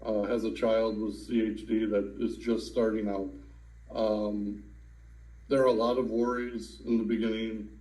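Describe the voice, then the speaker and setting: A man in his thirties calmly sharing his own story in a personal testimonial, with some fillers. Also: on the low side, roomy sound, rough recording. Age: 30s. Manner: slow, calm, with fillers. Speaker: adult with congenital heart disease.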